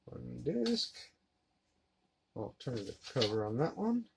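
A man in his thirties giving a relaxed, rambling unboxing commentary while handling discs at his desk. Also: mid-range voice, slow pace, creaky. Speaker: collector unboxing a disc set. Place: collectibles room indoors. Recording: noisy home recording.

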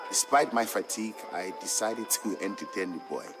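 Guy acting as patient ethiopian trader dad telling an old legend for a game, lowish voice, music behind. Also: natural voice. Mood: reflective, storytelling. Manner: measured, fond storytelling. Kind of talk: scripted storybook narration in a game. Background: background music.

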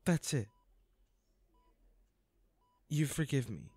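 A man's voice: medium pitch, expressive, drawn-out words, warm tone, slightly rough, no echo, heavily acted. Casting: male actor for a remorseful, devoted boyfriend in an audio roleplay, mid-range voice, tender and pleading, recorded dry. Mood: emotional, apologetic. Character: guilt-ridden, devoted boyfriend at a hospital bedside. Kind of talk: intimate audio roleplay acting.

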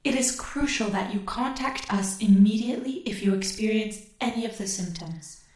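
A woman playing a calm public health announcer reading a scripted warning in an animated series. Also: mid-range voice, moderate pace, natural voice. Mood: measured, official. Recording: echoing, noisy announcement.

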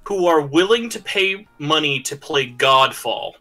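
A man talking games on a podcast as a guest, loud, animated and emphatic. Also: a little high, unhurried, soft background music. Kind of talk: casual gaming chat.

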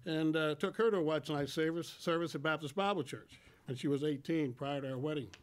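A man in his sixties giving a personal testimony to a congregation in a plain, folksy, halting way, speaking into a stand microphone. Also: a little high. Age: 60s. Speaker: church member giving testimony. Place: church sanctuary at a lectern.

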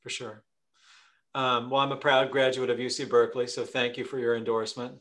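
A man's voice: medium pitch, level tone, a little fast, a bit bright. A middle-aged man hosts an online town hall over a video call from his home office. His tone is friendly as he reads out questions from the audience. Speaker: host, foundation president.

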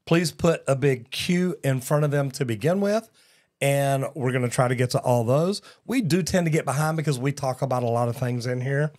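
A man's voice: medium pitch, normal speed, darkish tone. A man, hosting a casual live talk show in a studio, folksy and upbeat, often calling people "man". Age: 60s. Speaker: podcast host. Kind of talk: casual live-stream chat. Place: studio set. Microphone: broadcast mic on boom arm.

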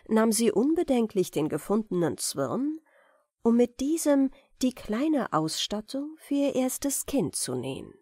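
A woman's voice: medium pitch, warm tone, no echo, clean studio sound. A woman reading a fairy tale as scripted audiobook narration for bedtime.

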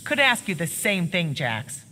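A woman's voice: on the low side, sing-song, measured pace, long reverb tail, heavily acted. An actress playing a tough, irritable female military officer in a video game, curt and dry. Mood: irritated. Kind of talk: video game character dialogue. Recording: loud ambient machinery noise.

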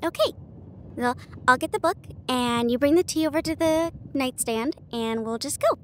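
A woman performing an intimate audio roleplay as an affectionate, bookish partner, chatting casually with hesitant pauses. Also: sits high, a bit bright, slight background noise. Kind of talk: intimate acted roleplay monologue. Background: ambient train sound effects.

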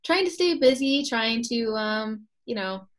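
A woman's voice: on the high side, lively intonation, a little slow. Chatty upbeat woman on a video call. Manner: chatty, upbeat, laughs often. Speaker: host, expo co-owner. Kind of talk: casual video call interview.

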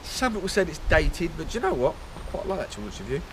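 Down-to-earth man in his forties doing a casual travel vlog, voice on the high side, outdoor camera audio with noise behind. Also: big pitch swings, racing. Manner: chatty, blokey, down-to-earth. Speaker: motorcycle vlogger. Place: outdoors, hotel grounds and ferry deck. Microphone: action camera held at arm's length.

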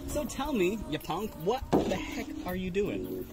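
Man, 30s, vlogger; playful teasing, lively pitch, talking outdoors over background music. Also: a little slow. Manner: teasing, animated, talks with his hands. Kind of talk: casual chat. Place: outdoor campsite picnic table. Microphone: camera on a tripod at the table.

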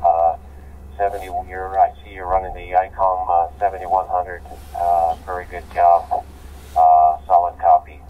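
An American man with an American accent, chatting casually and haltingly as a ham radio operator over digital radio. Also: deep voice, little pitch movement, slight background noise. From United States. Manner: halting, filler-heavy. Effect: digital radio transmission. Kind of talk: casual amateur radio contact. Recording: muffled distant digital radio link.

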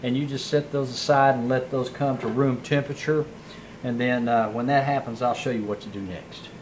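A man's voice: medium pitch, steady tone, normal speed, slight background noise, low-quality recording. Folksy man cooking over sizzle. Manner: folksy, chatty, talks while cooking. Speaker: home cooking show host. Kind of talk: casual cooking demonstration. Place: home kitchen countertop. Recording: sizzling frying pan behind.

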